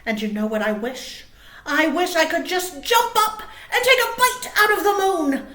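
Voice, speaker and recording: A woman's voice: very high voice, expressive, moderate pace, sharp tone, pitched above the performer's own voice, heavily acted. A woman voicing an eager, dreamy bear from a children's storybook, earnest and wistful. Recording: roomy, echoey sound.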